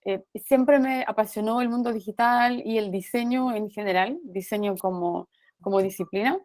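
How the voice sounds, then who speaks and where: A woman's voice: medium pitch, normal speed, darkish tone, no echo. A woman from Romania with a Romanian accent, giving a guest lecture to a university class over a video call, with frequent "eh" fillers and "¿no?" tag questions. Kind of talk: online university class talk.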